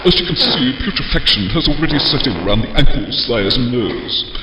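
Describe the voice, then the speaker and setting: A man's voice: mid-range voice, lively intonation, brisk, lightly acted. A man playing a pompous, formal nineteenth-century medical lecturer in a comic audio drama. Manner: formal, declamatory. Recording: echoey hall, dull, distant, noisy archive pastiche.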